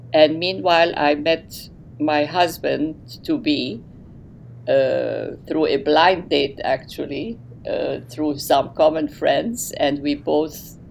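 Middle-aged woman with a Lebanese Armenian accent, slow and gravelly. Faint hiss behind her. Podcast guest. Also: low-pitched, low-quality recording. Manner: warm, unhurried, with fillers and laughs. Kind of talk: podcast interview, telling her life story.

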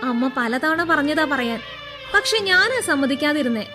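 An actress playing a cold, defensive young bride-to-be in a radio drama, a reproachful and pragmatic younger sister. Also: female voice, sits high, expressive, unhurried, over music. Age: young adult.

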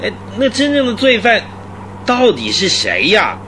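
Doubting police captain, radio drama: high-pitched, very animated, sighing and impatient. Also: male voice, a little slow, full character voice. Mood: impatient, doubtful. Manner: flustered, sighing, hesitant interjections. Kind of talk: old-time Chinese radio drama acting. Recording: faintly noisy radio drama recording.

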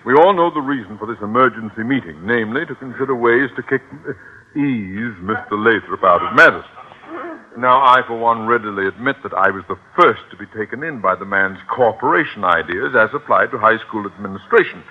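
A man's voice: fairly low pitch, expressive, fairly quick, lightly acted. A man playing a pompous, irritable middle-aged school principal with an American accent and a sputtering, sarcastic delivery in a radio comedy. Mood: officious. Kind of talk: 1950s radio comedy acting. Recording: dull, noisy old radio recording.

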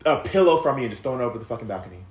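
A man's voice: medium pitch, lively intonation, racing. A man in his thirties telling a painful personal story in casual chat, rambling and emotional with lots of fillers and restarts, swearing freely. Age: 30s. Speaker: podcast guest sharing a personal story. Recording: echoey room.

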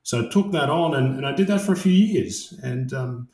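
A man's voice: mid-range voice, animated, normal speed, darkish tone, echoey room. A man in his late thirties from Iran, raised in Australia, talking as a guest in a casual interview and often hesitating with fillers and restarts. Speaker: guest, construction project manager.

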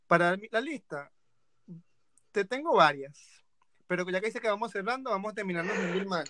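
A man co-hosting a casual music talk, playful and unhurried, often laughing. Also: high voice, expressive, pushed and bright, no echo. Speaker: podcast co-host. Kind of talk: casual chat.

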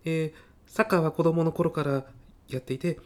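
A man's voice: a little high, steady tone, moderate pace, a little mellow, lightly acted. A man playing an earnest, hapless university student at a job interview in a comedy sketch, with stiff, hesitant, rehearsed politeness. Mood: earnest, nervous. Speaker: job applicant. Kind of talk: audio comedy sketch acting.

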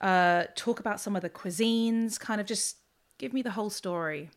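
A woman's voice: mid-range voice, moderate pace. A woman hosting a food podcast, reading a scripted intro before chatting casually with her guest. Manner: reads a scripted intro, then chats casually and laughs along. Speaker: podcast host. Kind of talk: podcast interview.

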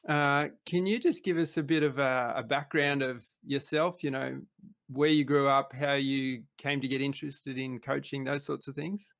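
A man hosts a podcast interview with a gravelly, creaky voice at a middling pitch. He speaks earnestly, pausing and restarting often. The sound is dry and close. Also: fairly even intonation, fairly bright tone, no echo. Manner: halting and earnest, many fillers and restarts. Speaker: podcast host. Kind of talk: casual interview.

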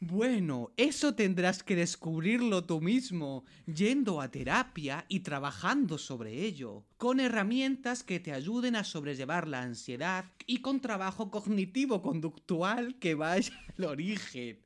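A man playing a reassuring therapist from another planet in an amateur radio play, speaking slowly and deliberately. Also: high voice, big pitch swings. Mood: calm, reassuring.

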